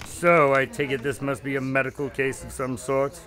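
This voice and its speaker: A man's voice: medium pitch, flat delivery, moderate pace, a bit bright, faint music behind, close to an everyday voice. An actor playing a polite doctor who narrates and grows exasperated with his friend in a radio drama.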